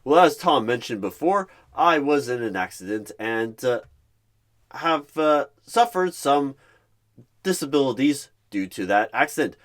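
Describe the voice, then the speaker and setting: A man's voice: mid-range voice, expressive, a little slow, natural voice. A man playing an eager, awkward recruiter in a roleplay job interview, with a stilted, theatrical manner. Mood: earnest. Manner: theatrical, announcer-like bursts, stilted third-person self-narration. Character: eager, awkward male recruiter narrating himself in third person.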